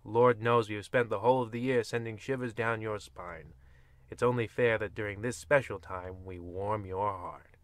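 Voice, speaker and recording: A man's voice: lowish voice, steady tone, moderate pace, no echo, close to an everyday voice. A man playing a grandiose old-time horror radio host, with formal, theatrical narration. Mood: grandly welcoming.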